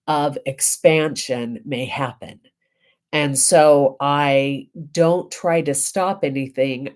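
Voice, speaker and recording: Low voiced lady minister w a head cold, really slow, reflective spiritual talk. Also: a little mellow. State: getting over a head cold. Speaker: reverend, spiritual teacher.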